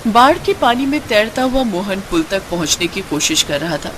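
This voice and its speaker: Lady narrator telling a scripted cartoon story, medium pitch, noise behind. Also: lively intonation, moderate pace, a bit bright, rough recording.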